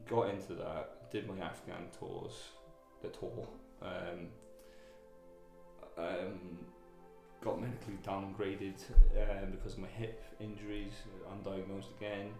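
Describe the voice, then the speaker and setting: A man with a very deep, gravelly voice speaks haltingly, full of fillers and restarts as he tells his own story. The room around him is echoey, and clear music plays behind. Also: flat delivery. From Wales. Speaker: guest, army veteran. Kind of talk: candid personal interview.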